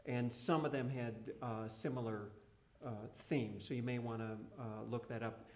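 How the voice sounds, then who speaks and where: A man informally explaining literary background at a live question session, measured and a little hesitant, in a roomy space. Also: mid-range voice, steady tone. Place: live event room with audience. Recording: roomy live event sound.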